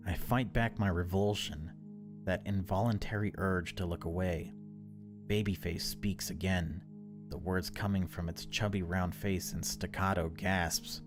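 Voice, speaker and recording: A man voicing the solemn, brooding protagonist of a horror visual novel. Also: deep voice. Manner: solemn, dramatic reading. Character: brooding horror-novel narrator facing a loathed creature. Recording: music behind the voice.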